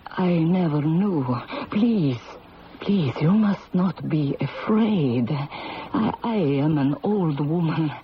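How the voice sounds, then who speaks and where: Slow, ancient Italian fortune teller. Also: female voice, deep voice, expressive. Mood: mournful. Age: older. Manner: slow, halting, dramatic, theatrical. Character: ancient dying Italian grandmother fortune teller, intense, mournful. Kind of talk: 1970s radio drama acting. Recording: muffled, noisy old radio broadcast.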